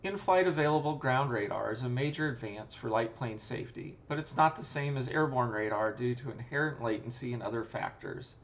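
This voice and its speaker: A man with a middling pitch reads a scripted aviation voice-over. His delivery is measured and matter-of-fact, like a pilot explaining technical decisions. The recording sounds a little dull and muffled. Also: animated. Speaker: pilot and channel host. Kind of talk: scripted narration, flight review voice-over.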